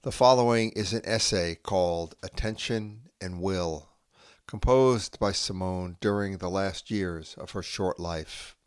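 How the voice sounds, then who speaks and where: A man reading a philosophical essay aloud in a measured, deliberate way, with long pauses between phrases. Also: sits low, clean tone. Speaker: reader and host. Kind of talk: slow solemn reading of an essay.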